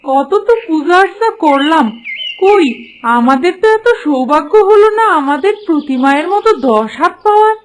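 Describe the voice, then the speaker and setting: High, complaining village woman voiced by a woman. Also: lively intonation, normal speed, slight background noise. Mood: envious. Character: envious, devout village woman. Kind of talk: cartoon voice acting.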